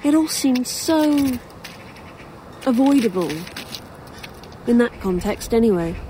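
A woman's voice: fairly high pitch, expressive, slow pace, warm tone. A weary young woman writer in an audio drama, sardonic and dry. Her delivery is that of someone worn down and unimpressed. Faint outdoor noise and cawing sit behind her voice. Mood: exasperated. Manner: dry, sarcastic, hesitant. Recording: faintly noisy outdoor sound bed. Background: crows cawing, outdoor ambience.

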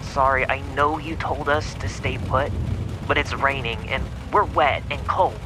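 A man's voice: medium pitch, measured pace, background noise, close to an everyday voice. A man playing a hesitant, apologetic lost camper on a phone call in a horror audio drama. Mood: apologetic, uneasy. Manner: hesitant, sheepish.

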